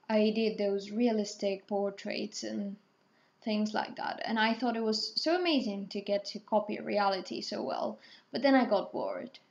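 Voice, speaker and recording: A young woman in her twenties talks slowly and casually, her English clearly a second language. She wanders from point to point, correcting herself and filling pauses with ums. The recording sounds a little dull and lo-fi. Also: a little high. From Portugal, raised in Spain. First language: Spanish or Portuguese. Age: 20s. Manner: rambling, self-correcting, hesitant with ums. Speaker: artist, channel host. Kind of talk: casual talkthrough over painting.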